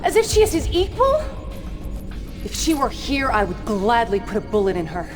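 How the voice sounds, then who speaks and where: An actress playing a fanatically loyal, jealous military officer in a video game, with an intense, dramatic, clipped delivery. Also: female voice, a little high, huge pitch swings, normal speed. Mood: furious, jealous. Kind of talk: video game cutscene acting. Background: loud dramatic music.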